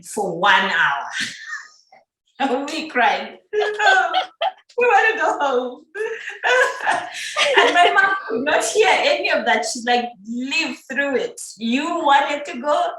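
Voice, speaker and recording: Woman, middle-aged, podcast guest, chatty, laughs often, echoey room. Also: a little high. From Uganda. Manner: chatty and animated, laughs often, frequent fillers. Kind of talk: casual conversational interview.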